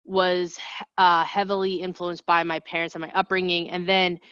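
Chatty woman with a low voice. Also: level tone, normal speed, a bit bright, no room sound, lo-fi sound. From New Jersey. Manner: chatty, rambling with restarts and asides. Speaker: guest singer-songwriter. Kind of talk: casual interview talk.

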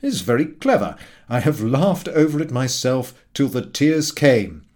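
A man's voice: mid-range voice, sing-song, measured pace, darkish tone, voice pushed up. A man voicing a shrewd, scheming London publishing manager in a storybook, with formal, persuasive, confiding speech. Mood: amused flattery.

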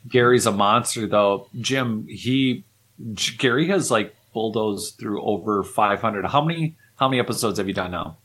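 A man's voice: lowish voice, normal speed. A man talking casually as a podcast co-host, chatty and joking. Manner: animated, jokey, chatty. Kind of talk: casual chat.

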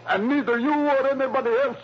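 An actor playing an agitated, tough-talking father in early 1960s radio drama, rough and emphatic in his speech. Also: very high voice, fairly even intonation, fast. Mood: desperate. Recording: dull, noisy old radio recording.

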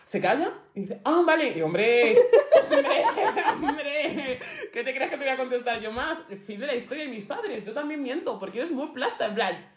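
A woman's voice: sits high, normal speed. A young woman from Spain, co-hosting a casual podcast chat and telling stories with lots of laughter. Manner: animated storyteller, laughs a lot, colloquial. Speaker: podcast co-host. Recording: echoey room with faint noise.

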